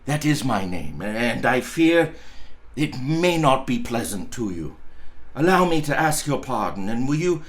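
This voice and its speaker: A man voicing a reformed old miser in a storybook reading, eager, effusive and courteous, with slightly halting speech. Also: medium pitch, big pitch swings, pitched below the performer's own voice. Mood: humble, earnest. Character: reformed old miser Scrooge, giddy, kindly, eager gentleman.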